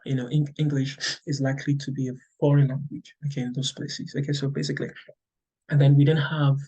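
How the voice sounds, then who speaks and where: Man, Nigerian accent, native Yoruba, husky voice, middle-aged teacher, conversational lecture, frequent "okay". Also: mid-range voice, flat delivery, fairly quick, dark tone, dull audio. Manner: many "okay" checks, repeats and restarts. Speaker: English teacher.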